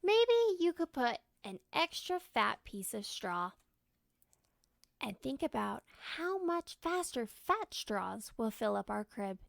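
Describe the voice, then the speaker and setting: A woman voicing an encouraging young mother in a children's storybook, earnest and gently persuasive. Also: a little high, sing-song, takes it slowly, creaky, no echo, studio quality, exaggerated voice. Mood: reassuring. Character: warm, encouraging young mother explaining a secret game.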